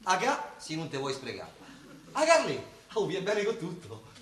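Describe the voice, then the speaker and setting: An eager, naive, chatty Roman working man. His rather high voice swings about in lively dialect. It sounds like a radio play in an echoey room. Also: normal speed, exaggerated voice. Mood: eager, persuasive. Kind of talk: naturalistic stage-style radio drama acting in dialect. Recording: roomy echoing sound, faint noise.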